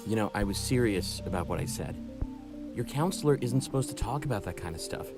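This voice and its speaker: A man's voice: lowish voice, lively intonation, a little fast, natural voice. A man voicing a frank, cautious but sociable male furry friend in a visual novel. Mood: earnest, concerned. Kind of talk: visual novel character dialogue. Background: game music.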